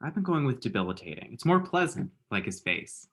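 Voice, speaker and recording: A man's voice: mid-range voice, very animated, fairly quick, a bit bright. A man acting a lovelorn young man in a comedy screenplay read, with campy, self-deprecating banter. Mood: breezy banter. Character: Eli, lovelorn self-mocking young man, dramatic best friend. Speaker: actor at an online screenplay table read. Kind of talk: conversational comedy screenplay reading.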